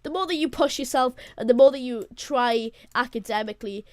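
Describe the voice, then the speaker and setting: Welsh teen girl co-host, native Welsh speaker, chatty and rambling, slightly high voice, dry close sound. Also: a little fast, a little mellow, dry recording. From Wales. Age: teens. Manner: rambling, giggly, talks to viewers as "guys". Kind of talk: casual chat.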